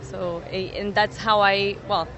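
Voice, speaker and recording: A woman in her twenties from Spain, answering interview questions outdoors in halting English with many restarts. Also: medium pitch, steady tone, fairly bright tone. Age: 20s. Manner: halting, restarts often, non-native English. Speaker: interviewee, saxophonist. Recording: noisy street background.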